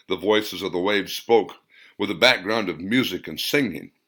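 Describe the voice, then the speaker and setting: A man reading scripted story narration as an audiobook narrator, in an earnest, deliberate manner. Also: lowish voice, fairly bright tone.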